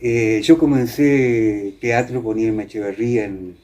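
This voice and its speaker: A man's voice: lowish voice, a little slow, mellow. An Argentine man, middle-aged or older, explaining and reminiscing in an interview with hesitant phrasing and frequent tag questions. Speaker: interviewee, former radio actor.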